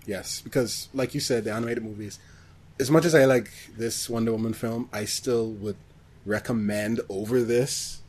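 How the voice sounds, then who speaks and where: A man chatting casually as a podcast co-host, expressive and given to acting out reactions. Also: mid-range voice, moderate pace, slightly rough. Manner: expressive, acts out reactions and quotes. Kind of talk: casual film discussion.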